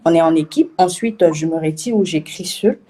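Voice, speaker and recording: A woman's voice: deep voice, a little fast, warm tone. A woman who works as a web writer answers interview questions in a hesitant way, repeating words and laughing often.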